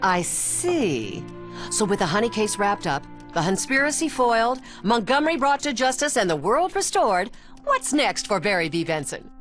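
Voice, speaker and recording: A woman's voice: mid-range voice, sing-song, moderate pace, a bit bright, faint music behind. An actress playing a slick, haughty female TV news host in a cartoon game, with polished, condescending presenter delivery. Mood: pointed. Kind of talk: dramatic TV news interview.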